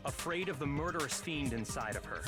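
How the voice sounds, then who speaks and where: A man playing a cold, arrogant young man in a dramatic video game dub, speaking with deliberate condescension. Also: fairly high pitch, lively intonation, close to an everyday voice. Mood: cool, accusing. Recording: loud music over the voice.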